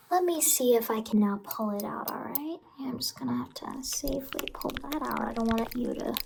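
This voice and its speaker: A high female voice with a crackly fry , a woman acting out a sinister, playful clown in horror ASMR. She murmurs softly and coaxingly, often in whispers. The recording has clear noise around it. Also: full character voice. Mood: softly gloating. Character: sinister playful immortal clown woman who harvests children's blood.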